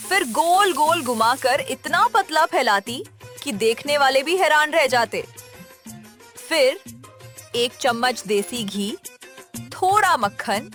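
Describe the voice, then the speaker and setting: Woman storyteller for kids cartoon, high voice, clear music underneath. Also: a bit bright. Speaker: narrator. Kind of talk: scripted children's story narration.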